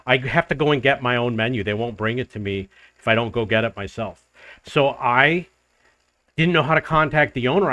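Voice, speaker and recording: An older man, host of his own travel channel, telling a rambling personal story to the camera in a loud voice. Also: mid-range voice, no echo. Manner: rambling, restarts and loops back in the story. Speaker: vlogger living abroad.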